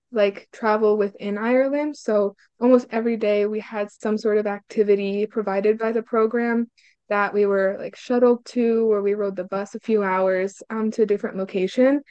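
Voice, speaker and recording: A woman's voice: medium pitch, a little mellow, clear, resonant tone, dry recording, lo-fi sound. A young woman from Hungary, a student guest answering casually and upbeat, with lots of "like" and "um.". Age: young adult. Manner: upbeat, frequent "like" and "um" fillers.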